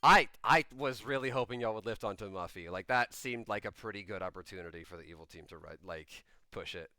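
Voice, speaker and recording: A man's voice: fairly low pitch, brisk, pushed and bright, no room sound, studio quality. A man running a social deduction game who explains his rulings at length in casual chat and laughs often.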